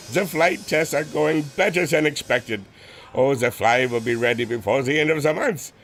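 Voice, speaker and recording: An actor playing a modest, earnest German engineer with a German accent, speaking formally and a little hesitantly in a radio drama. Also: male voice, a little high, a little slow, creaky, a bit bright, a little hiss or hum. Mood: elated. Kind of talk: radio adventure drama acting.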